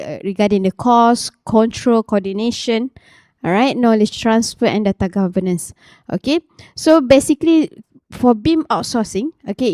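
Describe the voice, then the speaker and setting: A Malaysian-accented woman researcher giving a conference talk, halting, full of fillers, restarts and repeated "okay". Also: fairly high pitch, darkish tone, dry recording, studio quality.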